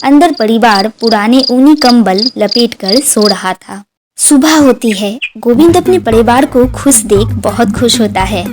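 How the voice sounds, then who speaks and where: High-pitched woman narrating a moral story. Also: measured pace, background noise.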